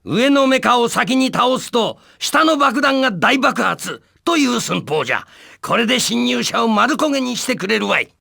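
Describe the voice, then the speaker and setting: An old mad scientist for a video game, very high and heavily gravelled. The villain rattles out schemes quickly, with gloating menace. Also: male voice, very animated, pushed and bright, heavily acted. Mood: gloating, scheming. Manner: menacing, old-man speech. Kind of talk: Japanese video game character acting.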